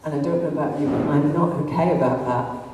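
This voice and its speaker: A woman's voice: deep voice, fast, noisy background. A middle-aged woman with a Greater Manchester accent gives an impassioned public talk to an audience, full of ums and rhetorical questions. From North West England. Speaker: activist speaker. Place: arts centre hall. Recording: distant echoey hall recording.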